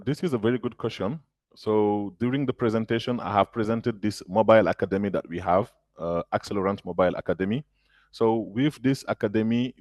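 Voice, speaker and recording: Guy on a webinar call, hesitant, lots of uhs, dry low voice. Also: darkish tone, dry recording. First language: French. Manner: hesitant, many fillers and restarts. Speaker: agritech founder answering questions. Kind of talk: webinar Q&A answer. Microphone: video call.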